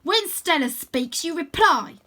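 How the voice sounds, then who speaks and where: A woman voicing a small, rough, jeering girl from a wild storybook gang, with a hard, shouty delivery. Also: very high voice, expressive, measured pace, pitched above the performer's own voice, full character voice. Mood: aggressive.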